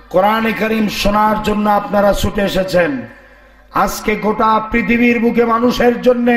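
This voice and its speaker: A male Islamic preacher delivers a fiery sermon in a very high, hoarse, straining voice. His pacing is slow and declamatory, built to draw responses from listeners. The sound echoes heavily over a PA. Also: a bit bright. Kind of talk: fiery sermon to a live congregation. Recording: echoey PA sound, faint crowd.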